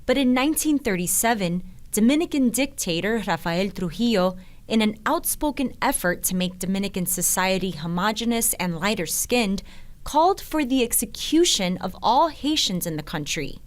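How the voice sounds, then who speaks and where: A woman's voice: medium pitch, expressive, moderate pace. A woman reading scripted news documentary narration in the manner of a reporter.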